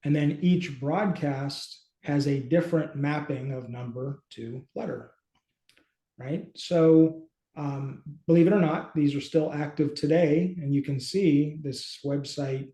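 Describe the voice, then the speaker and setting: A middle-aged man hosting a casual screen-share walkthrough, speaking haltingly as he thinks aloud. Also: a little high, dark tone. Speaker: host and app company team member. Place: small indoor room, video call. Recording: roomy, echoey home room.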